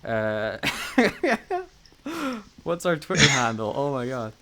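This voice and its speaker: A man's voice: mid-range voice, huge pitch swings, slow, pushed and bright. A man co-hosting a casual unscripted podcast, rambling and joking with mock confidence. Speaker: podcast co-host.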